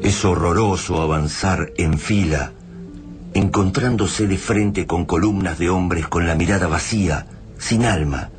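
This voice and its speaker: Male Argentine narrator for radio drama: low, literary, solemn, set over prominent music. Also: a little slow.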